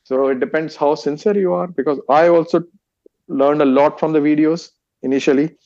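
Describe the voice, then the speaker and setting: A middle-aged man with an Indian English accent, whose first language is Hindi. He speaks in a halting, rambling way and strings long lists together. The sound is dull and dry, like a remote call. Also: a little high, fairly even intonation, darkish tone, dry recording. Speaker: meditation teacher and author as guest. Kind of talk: interview answers.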